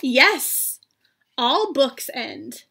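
A woman voicing a calm, playful little female pig from a children's picture book in a simple, deliberate way. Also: sits high, creaky, slightly rough, heavily acted. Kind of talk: children's storybook read-aloud.

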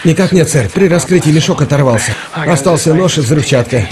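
A man's voice: a little high, expressive, fairly quick, a crowd in the background, lightly acted. An actor playing an anxious young army radioman in a dubbed war drama, deferential and chatty toward his officer. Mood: reporting.

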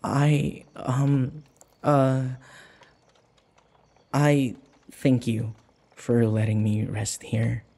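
Man acting a timid servant in echoey, creaky murmurs. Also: mid-range voice, completely flat delivery, mellow. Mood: nervous, flustered. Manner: hesitant, halting, sighing. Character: timid, submissive young male servant of a vampire mistress. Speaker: audio roleplay performer. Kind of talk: intimate boyfriend audio roleplay.

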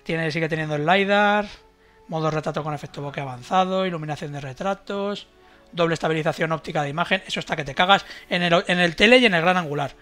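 Español de voz aguda, rápida y brillante. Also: male voice, fairly high pitch, fast, sharp tone, faint music behind. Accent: Spain. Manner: colloquial, blunt. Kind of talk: casual livestream tech commentary. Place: indoors at home, video call.

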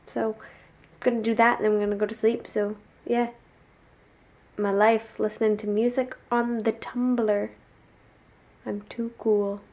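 A woman's voice: fairly high pitch, level tone, unhurried, pauses often, darkish tone. A young woman chatting casually into a handheld camera, giggly and rambling. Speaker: vlogger. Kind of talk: casual vlog chat. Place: school halls, outdoors and home bathroom. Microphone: handheld camera at arm's length.

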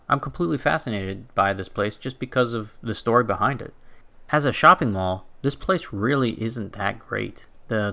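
A man's voice: mid-range voice, pushed and bright. A young man narrating a walking tour for his travel vlog in a casual, chatty way, with fillers and restarts. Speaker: travel vlogger.

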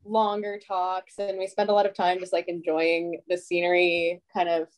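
A woman's voice: on the low side, monotone, moderate pace. A young woman, a long-distance hiker, chatting over a video call from a small room with a dry, wry humor. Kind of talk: casual video call chat.

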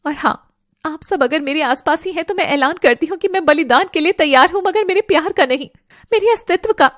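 Woman voicing a high-pitched lovestruck young fairy. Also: brisk, a bit bright, no echo, studio quality. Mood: earnest, loving. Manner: emotional, dramatic delivery.

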